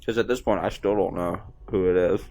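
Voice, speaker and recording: A man's voice: sits low, steady tone, fairly quick, a little mellow, dry recording. A man giving casual, enthusiastic, sweary reaction commentary on a film as its host. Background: movie music.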